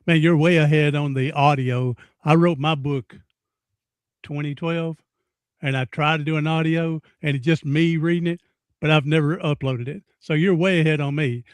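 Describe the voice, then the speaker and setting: A man's voice: fairly high pitch, fairly even intonation, moderate pace, smooth, no echo. A man in his sixties hosting a podcast interview, folksy and rambling, often repeating himself. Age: 60s. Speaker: podcast host. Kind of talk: casual interview chat.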